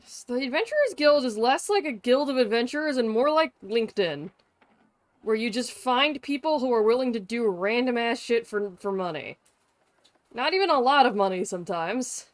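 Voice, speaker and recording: A woman's voice: on the high side, expressive, moderate pace, no echo. A young woman livestreaming with casual, irreverent game commentary, sarcastic and sweary.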